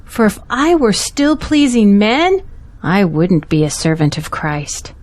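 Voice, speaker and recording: Devout, steadfast woman played by an actress, medium-pitched. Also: sing-song, takes it slowly, a little mellow. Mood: troubled, earnest. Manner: earnest, reassuring. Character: devout, steadfast, supportive female confidante of a pastor. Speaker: actor in an audio drama. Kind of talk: earnest audio drama dialogue.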